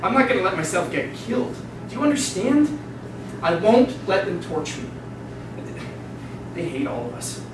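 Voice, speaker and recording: An actor in a dramatic stage reading playing a frightened, defeated oil worker, insistent and emphatic, repeating himself. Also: male voice, sits high, very animated, normal speed, pauses often. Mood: fearful, resolute. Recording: very echoey noisy hall, distant microphone.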